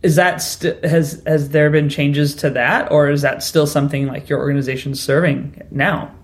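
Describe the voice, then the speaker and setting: A man hosting an interview, asking long, wandering questions with soft laughs. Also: a little high, fairly even intonation, normal speed. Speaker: podcast host. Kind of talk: conversational interview.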